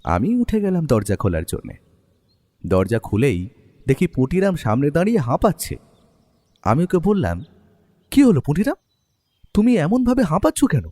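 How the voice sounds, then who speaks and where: Man playing an animated, mellow writer-narrator. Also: on the high side, normal speed, long pauses, big-room sound. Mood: puzzled, concerned. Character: expressive male writer, the detective's companion and narrator. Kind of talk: scripted first-person story narration and drama acting.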